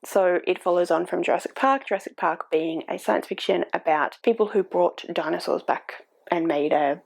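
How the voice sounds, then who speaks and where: A woman's voice: fairly low pitch, normal speed, gravelly. A woman in her forties chatting casually at home about books, rambling with frequent ums and self-corrections. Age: 40s. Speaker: book YouTuber. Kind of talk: casual booktube chat. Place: home, with a cat on the microphone. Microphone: desk microphone.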